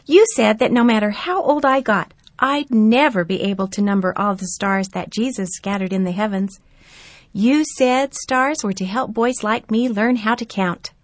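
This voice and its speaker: A woman voicing an excited, earnest young boy in a storybook reading, his lines pitched high and loud. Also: normal speed, no echo, close to an everyday voice. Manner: high and eager, loud exclamations.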